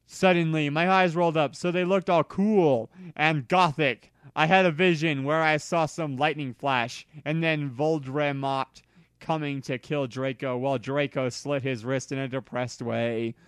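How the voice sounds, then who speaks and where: A man reading a story aloud in a theatrical, deliberate way, as an amateur dramatic narration. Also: a little high, fairly even intonation, smooth, no room sound, clean studio sound.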